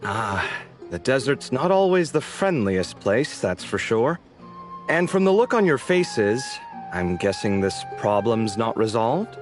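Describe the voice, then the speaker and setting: Animated, friendly, teasing young man. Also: medium pitch, unhurried, over music. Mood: friendly, helpful. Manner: animated, expressive, apologetic then sarcastic. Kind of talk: video game voice acting.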